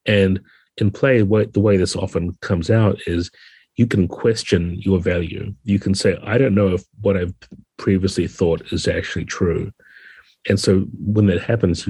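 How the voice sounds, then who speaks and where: Male interview guest: very low, dark voice with some huskiness, thoughtful explainer, dry recording. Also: breathy edge, no room sound. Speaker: podcast guest, game designer.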